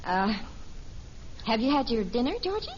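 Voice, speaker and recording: Animated, kindly radio sitcom mother. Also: on the high side, normal speed. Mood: fussing. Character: kindly, practical sitcom mother, gently scolding. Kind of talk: 1950s radio sitcom acting. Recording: muffled, very noisy old radio recording.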